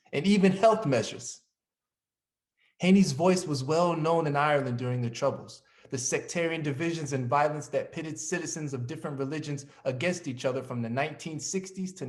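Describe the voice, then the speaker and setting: A man presenting a scripted introduction and narration in the manner of a programme host. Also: on the high side.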